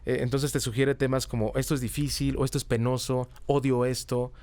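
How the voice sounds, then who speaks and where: A man's voice: mid-range voice, level tone, brisk, a little mellow, no echo. Mexican man chatting in a dry recording. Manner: explanatory, often ends phrases with tag questions. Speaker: podcast guest, comedy teacher and writer. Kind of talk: casual conversational interview answers.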